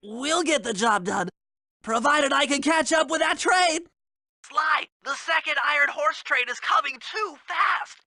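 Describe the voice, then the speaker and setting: A man's voice: extremely high pitch, measured pace, sharp tone, dry recording, clean studio sound, full character voice. A man voicing a brainy, anxious animal gadget expert in a cartoon video game, nasal and precise in formal technical phrasing. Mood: alarmed.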